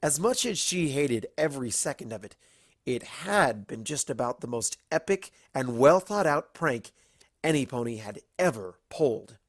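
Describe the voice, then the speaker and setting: An expressive storybook narrator with a mid-pitched voice. The reading is animated, with plenty of rise and fall, and the sound is close and dry. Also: normal speed, no room sound.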